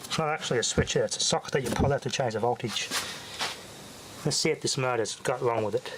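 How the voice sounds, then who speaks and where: A man's voice: mid-range voice, fast talker, background noise. A man giving casual, off-the-cuff repair commentary as a hobbyist tinkerer, muttering to himself in short fragments as he thinks aloud.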